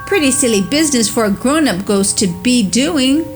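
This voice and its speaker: A woman's voice: on the high side, lively intonation, moderate pace, natural voice. Woman doing an eager little boy. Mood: indignant. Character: young boy, curious then bold. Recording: music playing underneath.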